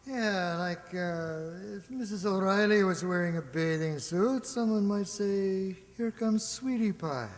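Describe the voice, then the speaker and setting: A man's voice: high-pitched, a little slow, hardly any pauses. A man playing a wry old music maestro in an old-time radio comedy, hesitant and wisecracking. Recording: hall reverb on stage-like recording.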